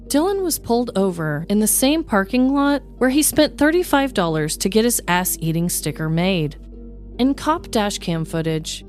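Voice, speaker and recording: A woman's voice: mid-range voice, darkish tone. A woman podcast host reading a scripted, comedic true-crime story as narrator.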